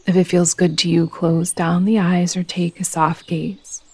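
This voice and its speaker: A woman leading a guided meditation as a yoga nidra teacher, speaking in a soothing, gentle, unhurried manner. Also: lowish voice, flat delivery, slightly rough.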